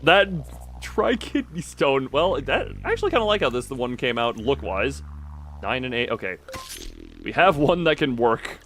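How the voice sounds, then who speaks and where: A man giving casual live commentary on a game as he streams, thinking out loud and often breaking off mid-sentence. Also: medium pitch, big pitch swings, normal speed, a little hiss or hum.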